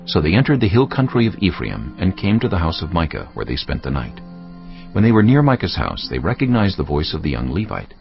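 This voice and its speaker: Very deep, gravelly male narrator. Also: very animated, brisk, dull audio. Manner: solemn, storytelling. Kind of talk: dramatised scripted Bible narration. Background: music.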